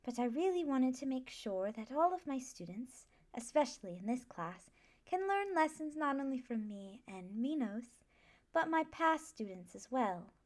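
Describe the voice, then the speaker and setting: A woman playing a gentle, scholarly magic professor in an ASMR roleplay, patiently instructing a class in a measured, reassuring way. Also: sits high, sing-song. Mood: calm, instructive.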